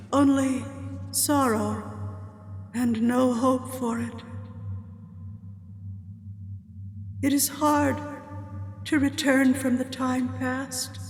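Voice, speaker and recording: An actress playing a sorrowful dead woman returned as a prophetic spirit, speaking solemn verse in a slow, grave incantation in a radio drama. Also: high-pitched. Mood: sorrowful, weary. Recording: long cavernous reverb with music.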